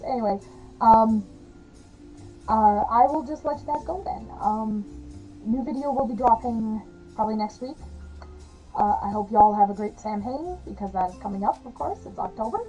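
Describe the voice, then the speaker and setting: A slightly hoarse woman talks to her camera in an easy, rambling vlog manner, full of fillers and little laughs. Her tone is mellow and darkish, with a gravelly edge. Faint music plays underneath. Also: a little high, lively intonation, moderate pace, long pauses, muffled recording. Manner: chatty, rambling, frequent fillers and soft laughs. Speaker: witchcraft vlogger. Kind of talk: casual talk to camera.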